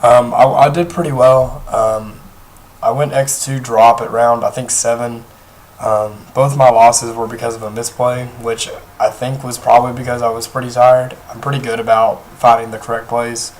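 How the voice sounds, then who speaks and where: A young man with a hoarse voice, talking casually through a card game deck, rambling with many pauses and restarts. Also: medium pitch, little pitch movement, rough recording. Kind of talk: casual deck profile talk. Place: indoors at a table, off camera.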